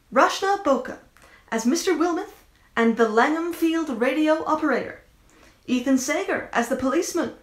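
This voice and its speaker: A woman's voice: high voice, a bit bright. A woman reading a scripted announcement of a cast list before a performance. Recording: echoey room sound.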